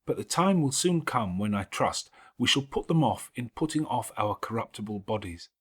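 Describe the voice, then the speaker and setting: A man voicing a calm, pious, forgiving schoolgirl in an audiobook, speaking in measured, earnest, formal Victorian phrases. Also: mid-range voice, husky. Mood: serene, devout. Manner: measured, gently preaching, formal Victorian diction. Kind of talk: audiobook character acting.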